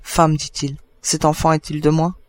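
A man's voice: on the high side, monotone, normal speed, no echo, natural voice. A man voicing a stern, unforgiving father in a storybook, grim and terse in his commands. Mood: cold anger. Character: stern, grim, unforgiving Corsican father, calm but frightening.

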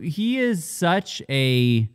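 A man's voice: on the high side, sing-song, very slow, clean tone. A man co-hosting a casual movie podcast, joking and enthusiastic, with some swearing. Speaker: podcast co-host.

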